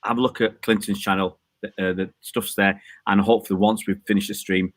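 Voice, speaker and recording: A male host with a fairly low voice chats loosely, rambling and teasing with plenty of ums. The sound is dry and close, with little room around it. He speaks from a balcony outdoors. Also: little pitch movement, brisk, no echo. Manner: chatty, jokey, rambling with many fillers. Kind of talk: casual chat.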